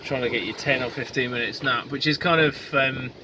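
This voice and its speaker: A man filming a casual video diary while sailing solo, talking in a halting way full of ums and restarts. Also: mid-range voice, fairly even intonation. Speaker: solo sailor vlogger. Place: outdoors on a small sailboat at sea. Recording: wind and boat noise. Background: wind, water and boat sounds.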